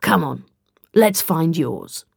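Wry, protective male time traveller, read by a woman, calm and clipped, storybook hero, dry close recording. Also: deep voice, takes it slowly, creaky, breathy edge, no room sound, exaggerated voice. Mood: wry, reassuring.

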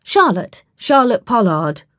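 A woman's voice: on the high side, sing-song, slow pace, no room sound, clean studio sound. A woman voicing an earnest young female adventurer, proper, stubborn and indignant, in an audiobook story. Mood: doubtful, pressing.

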